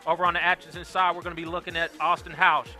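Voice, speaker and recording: Bright-voiced young football announcer, a man. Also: on the high side, little pitch movement, soft background music. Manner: upbeat, quick play-calling. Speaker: play-by-play announcer. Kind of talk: high school football commentary.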